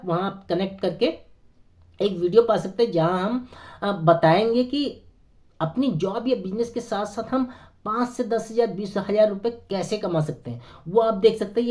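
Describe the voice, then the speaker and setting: A hoarse man with a sore throat, talking earnestly to camera as a network marketing consultant, persuading with many hesitant fillers. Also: high-pitched, darkish tone. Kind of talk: persuasive motivational talk to camera.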